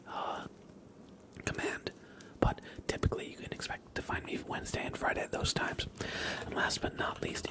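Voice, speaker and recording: A man hosting an ASMR livestream, chatting casually in a soft whisper. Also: low-quality recording. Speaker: livestream host.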